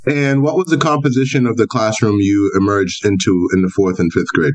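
A man with a lowish voice hosts a podcast interview. He talks casually and playfully, telling stories with plenty of fillers. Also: steady tone, fairly quick. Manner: playful storytelling, lots of fillers. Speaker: podcast host.

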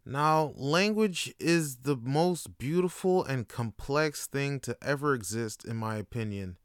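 A young man hosting his own podcast, talking in a casual, slangy monologue full of animated jokes and asides. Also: mid-range voice, a little slow, clear, resonant tone, no echo, clean studio sound. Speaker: podcast host.

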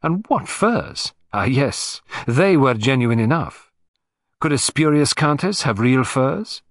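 Man as earnest, exclaiming gentleman sidekick. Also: medium pitch, expressive, takes it slowly, dry recording, studio quality, pitched above the performer's own voice. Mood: surprised, earnest.

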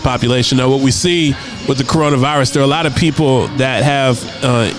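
A man's voice: mid-range voice, fairly quick. A man hosting a casual internet radio show, talking in a laid-back way and often repeating words as he thinks aloud. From United States. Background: music playing.

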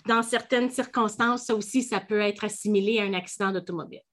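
A woman's voice: medium pitch, steady tone, a bit bright. A woman with a Quebec French accent, hosting a legal podcast in a chatty, explanatory way. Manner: chatty, explanatory, quick restarts. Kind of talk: casual legal podcast chat.

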